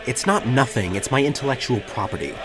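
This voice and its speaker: A man's voice: medium pitch, animated, brisk, close to an everyday voice. A man playing a stubborn, evasive character in an audio drama, with a hesitant, defensive manner. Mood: defensive, anxious. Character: stubborn, evasive man obsessed with his projects. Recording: music behind the voice.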